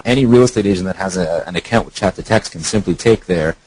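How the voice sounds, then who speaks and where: A man's voice: low voice, fast, darkish tone, slight background noise, low-quality recording. A man speaking as an online marketer and co-founder on a phone conference call, informal and explanatory.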